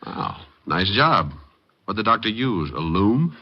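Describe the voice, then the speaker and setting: An actor playing a breezy, wisecracking hardboiled private detective with sardonic, slangy patter in old radio drama style. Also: male voice, lowish voice, sing-song, takes it slowly, heavily acted. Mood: wry, teasing. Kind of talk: 1940s-50s radio detective drama acting. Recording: muffled vintage radio recording.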